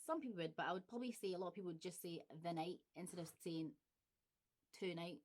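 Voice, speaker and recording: Chatty Glaswegian woman, low voice. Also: fast. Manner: chatty, laughs often, plays with example phrases. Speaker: YouTuber. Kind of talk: casual vlog chat.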